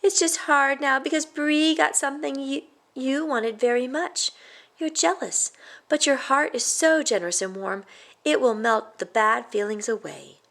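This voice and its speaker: A woman voicing a gentle, reassuring mother in a children's storybook, explaining things soothingly. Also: high-pitched, steady tone, a little slow, vocal fry, heavily acted.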